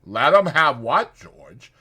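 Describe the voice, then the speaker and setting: Man voicing loud, childlike simple ranch hand. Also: fairly high pitch, big pitch swings, slightly rough, pitched above the performer's own voice, exaggerated voice. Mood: eager, confused. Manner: pleading, slow-witted, rural American dialect. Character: childlike, timid, simple-minded big male ranch hand.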